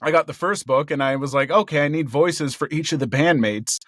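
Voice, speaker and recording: A male voice actor chatting in an interview. He is cheerful and excitable, lifts his voice into a higher register with a gravelly undertone, and laughs often. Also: a little fast, no room sound, studio quality. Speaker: voice actor guest. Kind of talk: casual interview chat. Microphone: headphones and a home recording mic.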